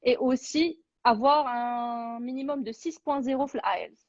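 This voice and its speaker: Female interviewer, fairly high voice, informal adviser, muffled dry recording. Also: moderate pace, darkish tone, no echo. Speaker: interviewer, education agency adviser. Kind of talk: casual interview.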